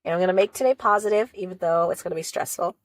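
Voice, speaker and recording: A woman's voice: lowish voice, rapid-fire, dry recording. A woman with a cold, casually recapping a reality show episode in a rambling, chatty way and signing off with encouragement. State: sniffly with a cold. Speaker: reality show reaction vlogger.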